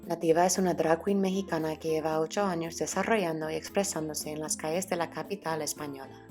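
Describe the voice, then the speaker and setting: Female documentary narrator, low register, scripted and welcoming, light music underneath. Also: steady tone, a little fast.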